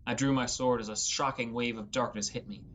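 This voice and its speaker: This is an audiobook narrator telling a fantasy adventure in the first person. The voice sits at a medium pitch and reads from a script. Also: fairly even intonation, brisk, fairly bright tone, a little hiss or hum.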